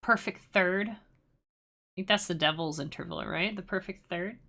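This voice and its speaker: A woman's voice: on the low side, moderate pace, bright, forceful tone. A young woman casually teaching music-making on a live stream, rambling and self-deprecating with playful asides.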